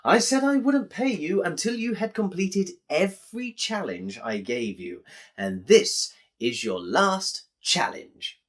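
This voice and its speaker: A man's voice: high voice, big pitch swings, pitched above the performer's own voice, exaggerated voice. Man acting sly bookmaker, swooping and smug. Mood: smug, triumphant. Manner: deliberate, smug, riddling. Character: sly, smug male Victorian fairground bookmaker and cheat.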